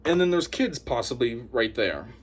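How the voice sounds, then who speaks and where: A man giving an opinionated monologue to camera as a commentator, talking in a scornful, animated way. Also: medium pitch, normal speed. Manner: animated, scornful, mocks opponents in put-on voices. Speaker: YouTube commentator.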